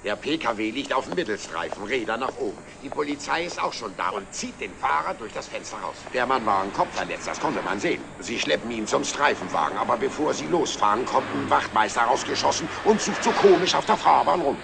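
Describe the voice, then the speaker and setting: Casting: jovial middle-aged trucker, gravelly, very lively delivery, noisy old TV soundtrack. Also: male voice, medium pitch, brisk, few pauses. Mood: storytelling, animated. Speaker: actor. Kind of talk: 1960s TV drama acting, anecdote telling. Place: outdoor truck stop café.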